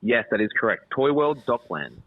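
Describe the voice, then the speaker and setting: A man's voice: medium pitch, very animated, moderate pace, dry recording. Man on a tinny, dry line. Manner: storytelling with playful dramatic buildup. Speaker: show producer. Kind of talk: casual chat.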